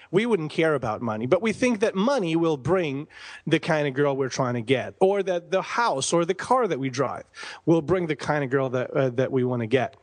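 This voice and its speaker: A middle-aged man from Bosnia talking casually and informally as an interview guest, often tagging his points with a quick check. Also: fairly high pitch, no room sound. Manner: informal, tag questions like "right?" and "man". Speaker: podcast guest, entrepreneur.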